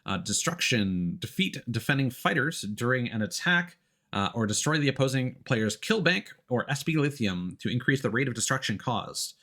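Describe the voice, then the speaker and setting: A man's voice: mid-range voice, big pitch swings, normal speed, bright, forceful tone. A man giving live let's play commentary as a streamer, reading game text aloud and adding hesitant explanatory asides.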